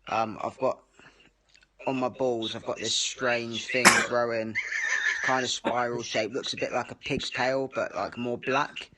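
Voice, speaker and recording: A man's voice: medium pitch, little pitch movement, measured pace, noisy background. A man leaving a cheeky, mock-serious voice message for a podcast. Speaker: voice-message sender. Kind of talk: recorded voice message.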